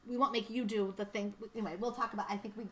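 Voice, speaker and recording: A woman's voice: medium pitch, steady tone, rapid-fire, crowd noise. A woman in her thirties hosting a virtual wine tasting, talking in a bubbly, rambling way with many fillers. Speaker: winery host. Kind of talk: casual chat, virtual tasting. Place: winery tasting room, indoors. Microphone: tabletop mic on stand.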